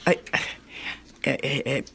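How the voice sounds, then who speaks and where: A woman playing an indignant, gossipy old grandmother in a radio drama, with a creaky, agitated way of talking. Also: slow pace, slight background noise, natural voice. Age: older. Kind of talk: Tagalog radio drama acting.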